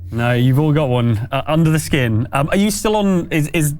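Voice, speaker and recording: A man's voice: on the high side, animated, normal speed, a little mellow. A man acting as game master, narrating a tabletop role-playing game in a casual, conversational way.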